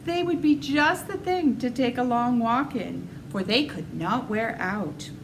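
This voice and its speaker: A woman's voice: a little high, measured pace, background noise. A woman voicing an earnest, resolute little farm girl in a storybook reading. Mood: thoughtful.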